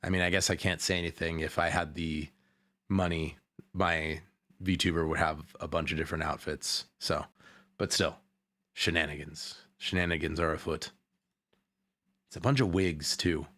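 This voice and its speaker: Deep-voiced man rambling through casual commentary. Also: flat delivery, fairly bright tone, no echo. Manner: rambling, halting, repeats himself. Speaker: streamer reacting to a video.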